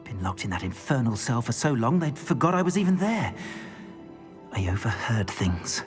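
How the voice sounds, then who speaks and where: An actor playing an anxious, earnest older wizard, a metal trader in a video game. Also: male voice, medium pitch, moderate pace, lightly acted. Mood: relieved, grateful. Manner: earnest, expressive, rueful. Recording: game music behind the voice.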